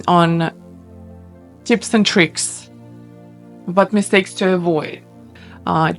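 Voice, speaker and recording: A woman hosting a casual interview, enthusiastic and repeating words for emphasis. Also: lowish voice. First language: Russian. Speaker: host.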